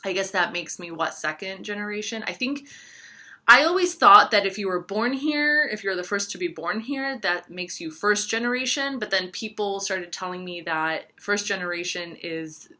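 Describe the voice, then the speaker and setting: A woman's voice: medium pitch, expressive, moderate pace, bright, forceful tone. A woman in her thirties, a Serbian-speaking astrologer and tarot reader, chatting casually to camera in a rambling way with lots of fillers. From United States. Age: 30s. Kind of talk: casual talking-to-camera chat.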